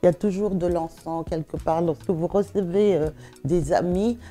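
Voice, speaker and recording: A woman's voice: lowish voice, normal speed, mellow. A middle-aged woman answering interview questions conversationally, hesitating and repeating words as she searches for phrasing. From Belgium, of West African family. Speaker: interview guest, perfume shop owner.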